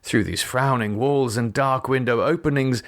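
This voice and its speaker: Expressive male gothic audiobook narrator. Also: mid-range voice, moderate pace. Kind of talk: dramatic scripted gothic narration, first person.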